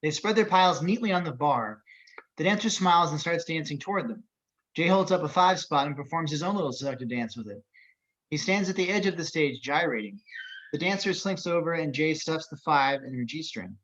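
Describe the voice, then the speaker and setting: A man's voice: a little high, lively intonation, a little fast, fairly bright tone, highs cut off. A man reading screenplay action descriptions as narrator at an amateur table read.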